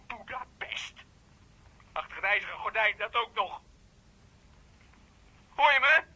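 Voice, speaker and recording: A man's voice: extremely high pitch, pushed and bright, heavily acted, low-quality recording. An actor playing a volatile, jealous young man in a radio play, agitated and stammering. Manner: agitated, stammering, repeating himself. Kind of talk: naturalistic radio play acting.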